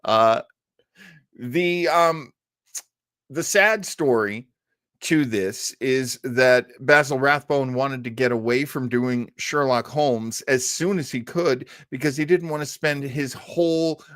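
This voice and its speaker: A man's voice: mid-range voice, expressive, slow pace, a bit bright, no room sound, clean studio sound. A man hosting a casual live stream about old radio, rambling and often breaking off to search for his words. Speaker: online show host.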